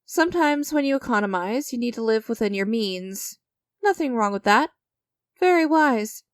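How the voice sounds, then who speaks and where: A woman playing an enigmatic, creepy stranger in a dramatic ASMR-style audio roleplay, teasing and condescending, with deliberate theatrical pauses. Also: fairly high pitch, expressive, smooth, no echo, studio quality, close to an everyday voice. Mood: coy, falsely friendly. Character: enigmatic, creepy female stranger, sly and knowing deal-maker.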